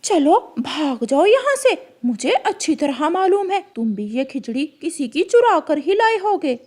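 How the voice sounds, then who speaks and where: A shrewd, bossy bird of prey, acted by a woman, pitched high and lively. This cartoon kite gives commands and takes no nonsense. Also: unhurried. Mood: scornful. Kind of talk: Hindi cartoon dialogue.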